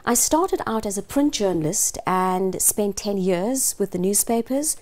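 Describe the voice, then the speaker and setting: Mellow middle-aged South African woman. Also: mid-range voice, lively intonation, a little slow. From Cape Town, South Africa. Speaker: guest, news trainer and journalist. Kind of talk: measured interview talk.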